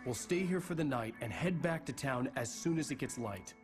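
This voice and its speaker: A male actor plays a determined man in a video game scene. His medium-pitched voice is serious and purposeful. Loud music runs behind him. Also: animated, moderate pace, close to an everyday voice. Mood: resolute. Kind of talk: video game cutscene acting.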